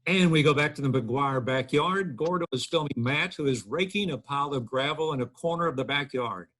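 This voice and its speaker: A man's voice: a little high, a little fast, fairly bright tone. A man reading the stage directions at a table read, plain and matter-of-fact.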